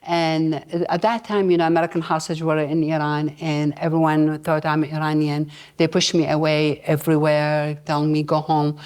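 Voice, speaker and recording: Low, even-toned woman with Iraqi Arabic accent. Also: normal speed, few pauses. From Baghdad, Iraq. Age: middle-aged or older. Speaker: interview guest, nonprofit founder. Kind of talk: personal story told at a live event.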